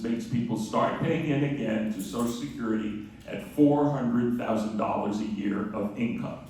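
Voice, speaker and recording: A man delivers a public speech in a heavily reverberant room, picked up from a distance with faint noise behind him. His voice is loud and projecting, and his manner is emphatic and rhetorical, like an advocate at a town hall. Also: mid-range voice. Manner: emphatic, rhetorical questions answered himself. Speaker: guest speaker from an advocacy group. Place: large echoey room at a public meeting.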